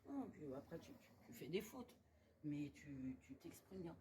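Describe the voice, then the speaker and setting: A woman's voice: deep voice, big pitch swings, a little slow. A woman chatting casually with a coworker, hesitant and repeating words. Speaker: hospital coworker. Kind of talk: casual chat. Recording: noisy background.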